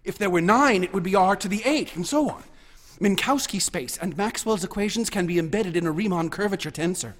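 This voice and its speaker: A young male mathematics student in a radio play, with a high voice. He is eager and obsessive, pressing his explanations insistently, as if he must finish every argument. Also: brisk, fairly bright tone. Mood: insistent, excited. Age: young adult. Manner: insistent, interrupting, lecturing. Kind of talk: radio drama acting.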